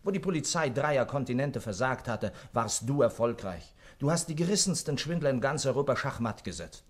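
An actor playing a caring, persuasive gentleman doctor in a German radio play, solicitous in manner. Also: mid-range voice, expressive, brisk, natural voice. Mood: coaxing. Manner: solicitous, coaxing, chuckling. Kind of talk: radio play acting and first-person narration.

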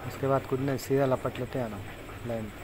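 A man's voice: mid-range voice, fairly even intonation, a little fast. A man casually explaining step by step how to tie fishing hooks while he works. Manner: plain instructive, talks while tying knots. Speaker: fishing tutorial maker. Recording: clear background insect noise.

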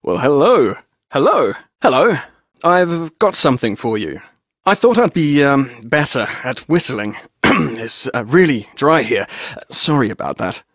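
Witty young tour guide, bouncy lively pitch, dry close sound, teasing. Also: male voice, measured pace, dry recording, exaggerated voice. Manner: playful, teasing.

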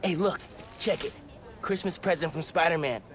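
A man's voice: fairly high pitch, moderate pace, lightly acted. A man playing an earnest, unsure teenage boy superhero in a video game, speaking in casual youthful slang. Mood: casual, curious. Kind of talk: naturalistic video game voice acting.

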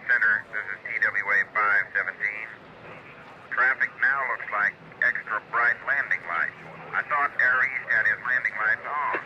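A guarded airline pilot in a film drama. The voice is fairly low and terse, coming through a dull, noisy radio link. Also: male voice, normal speed, long pauses. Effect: radio filter.

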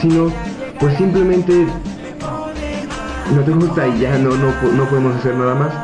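A sixteen-year-old Mexican boy from Puebla, talking softly and hesitantly into a webcam in a small office, with many fillers. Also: mid-range voice, little pitch movement, normal speed. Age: teens. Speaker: young reggaeton artist. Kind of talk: self-interview to webcam. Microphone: webcam at desk. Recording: echoey room with music behind.

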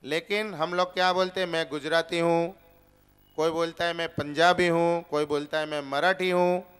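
A man in his forties preaches to a seated congregation in a temple hall, speaking into a stand microphone. His voice is smooth, resonant and set a little high, and he takes his time. He poses question after question and answers them patiently. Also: fairly bright tone. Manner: slow, deliberate teaching with rhetorical questions. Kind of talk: Gita discourse.

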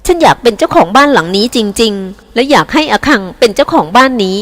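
A woman's voice: fairly high pitch, expressive, fast talker, fairly bright tone. A woman reading aloud and voicing an anxious, scheming woman devoted to her young son, in a pleading, urgent way.

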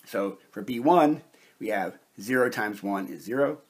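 A man's voice: fairly low pitch, animated, unhurried, breathy edge. A man narrating a methodical, step-by-step whiteboard lesson as a science lecturer, explaining each point in turn. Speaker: chemistry lecturer.

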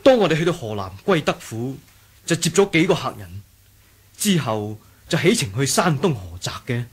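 Husky, animated Cantonese rebel fighter. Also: male voice, mid-range voice, moderate pace. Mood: earnest, watchful. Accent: Hong Kong Cantonese. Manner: earnest, vivid storytelling. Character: loyal, watchful rebel fighter giving a report. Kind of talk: 1980s radio drama acting.

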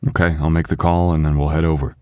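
A man playing a sweet, apologetic, devoted young husband in an intimate boyfriend ASMR roleplay, with a halting, tender, self-deprecating delivery. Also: extremely low pitch, flat delivery, a little mellow, dry recording, studio quality. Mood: tender, reassuring.

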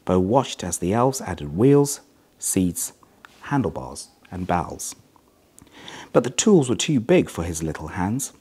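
A middle-aged man reading a children's story aloud in an expressive, measured way. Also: low voice, breathy edge. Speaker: hospital chief executive reading a children's story.

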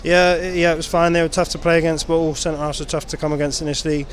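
Footballer, male, twenties: brisk, level delivery, slightly raised pitch, casual, outdoors. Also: little pitch movement. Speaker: professional footballer. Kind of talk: casual post-match interview answers. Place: outdoors outside a stadium, people around. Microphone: handheld interview microphone. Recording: outdoor recording with background noise.

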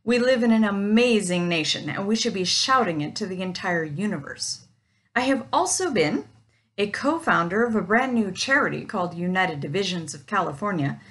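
A woman's voice: medium pitch, animated, moderate pace, a bit bright. A woman in her forties reading a prepared campaign speech with dry jokes, recorded on a webcam in a home office. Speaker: party treasurer candidate. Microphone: webcam at desk.

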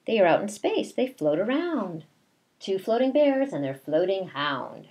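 A middle-aged woman, a kindergarten teacher, reading a picture book aloud in a deliberate, expressive way with asides to young children. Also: medium pitch. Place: small bedroom at home, indoors.

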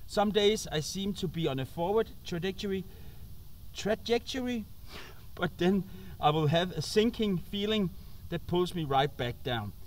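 A Danish man with a high voice addresses his viewers informally. His English is accented and halting, as he searches for words while presenting outdoors. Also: animated, slight background noise. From Denmark. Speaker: host and musician. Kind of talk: informal talk to camera. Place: outdoors on an open roadside.

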